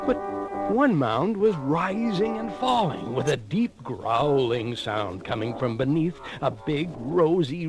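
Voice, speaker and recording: Man, theatrical storybook narrator, highly animated, slow pacing, music behind, 1980 read-along record. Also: low-quality recording. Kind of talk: dramatic scripted storybook narration.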